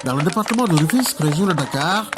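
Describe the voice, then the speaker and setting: A man narrating a news report as voice-over in a measured, lively delivery. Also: fairly high pitch, noisy background.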